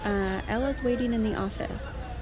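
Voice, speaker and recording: A woman's voice: fairly high pitch, normal speed, over music, natural voice. An actress playing a polite female school staff member in naturalistic audio drama. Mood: polite, uneasy. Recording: busy outdoor crowd behind.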